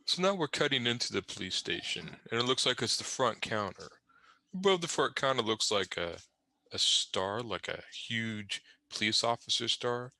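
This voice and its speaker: A man's voice: medium pitch, animated, normal speed, pushed and bright, no echo. A man narrating comic panels off the cuff, with wry, rambling, conversational asides. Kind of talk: improvised humorous scene description.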